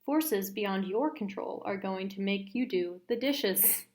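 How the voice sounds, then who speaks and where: A woman's voice: mid-range voice, slow pace, a little mellow, lightly acted. A woman voicing a stern, unimpressed father in a children's storybook, speaking in a dry, firm manner. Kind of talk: children's storybook read-aloud character voice.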